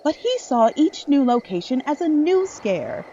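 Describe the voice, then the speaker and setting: A woman narrates a dark audio drama with expressive, swooping intonation. The sound is slightly muffled, with faint noise behind her. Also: high voice, measured pace. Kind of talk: dramatic scripted horror-story narration.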